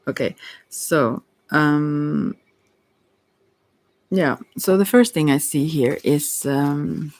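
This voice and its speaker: Halting, creaky-voiced tarot reader, very close and dry. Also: mid-range voice, darkish tone, no echo, poor audio. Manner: hesitant, halting, apologetic, with frequent self-interruptions. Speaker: tarot card reader, ASMR host. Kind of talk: rambling ASMR tarot reading.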